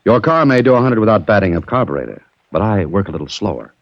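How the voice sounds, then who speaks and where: A breezy adventurer hero of vintage radio drama, speaking in a deep, polished voice with wry curiosity. His delivery swings expressively through a dull, noisy old recording. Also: very animated, fast talker, dry recording. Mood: wry, bantering. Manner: wry, bantering, polished storytelling. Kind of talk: 1940s radio drama acting with first-person narration.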